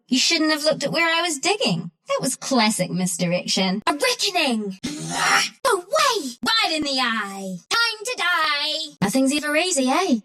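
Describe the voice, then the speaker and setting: A scrappy little forest critter from a video game, with a high, animated voice that swings freely. She talks with cocky, slangy irreverence. The character is a cheeky trickster who sounds small and sure of herself. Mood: fierce, excited.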